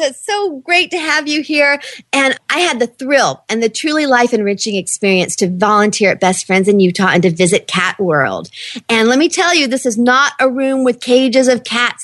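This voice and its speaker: A woman with a fairly high voice hosts a radio show about pets. She is gushing and enthusiastic. Her delivery shifts between upbeat scripted introductions and chatty interviewing. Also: no echo, studio quality. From southeastern United States. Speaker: host of a pet radio show.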